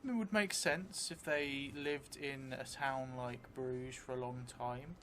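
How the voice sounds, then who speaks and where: A middle-aged man thinking aloud as he improvises a solo tabletop game, hesitant and musing with long pauses. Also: mid-range voice. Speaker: solo role-player and streamer. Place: indoor theatre room, seated at a table. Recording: clear steady background noise.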